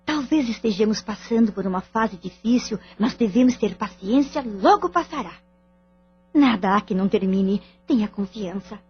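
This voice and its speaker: A woman's voice: medium pitch, expressive, moderate pace, dull audio, slight background noise, natural voice. An actress with a Brazilian accent playing a wronged, firm wife and mother in an audio drama. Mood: reassuring.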